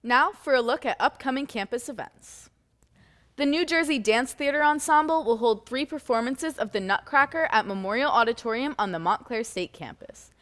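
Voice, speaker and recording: A woman co-anchoring a student newscast, reading event announcements in a steady, even way. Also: on the high side, moderate pace, long reverb tail. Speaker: news co-anchor.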